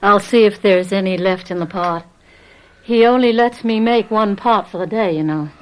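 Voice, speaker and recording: An actress playing a young, resigned farm wife in a radio drama, wary and pleading, with a hesitant, placating manner. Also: female voice, medium pitch, moderate pace, lightly acted, rough recording.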